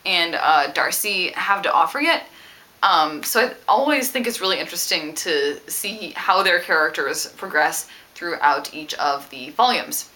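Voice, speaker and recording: A woman talking casually about a book as a vlogger, with an animated, emphatic and opinionated way of speaking. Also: lowish voice. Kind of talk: casual book discussion to camera.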